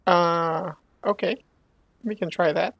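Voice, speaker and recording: A woman's voice: fairly low pitch, steady tone, slow pace, gravelly, no room sound, highs cut off. A woman streaming a game, reacting casually to the story as she plays. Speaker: gaming streamer. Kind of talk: casual reactions during gameplay.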